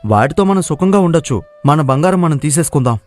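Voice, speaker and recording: A man's voice: a little high, lively intonation, fast, darkish tone, faint music behind, lightly acted. A man voicing an easygoing young villager in a cartoon moral story, questioning and sceptical in manner. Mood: reluctant. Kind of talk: dramatised moral story dialogue.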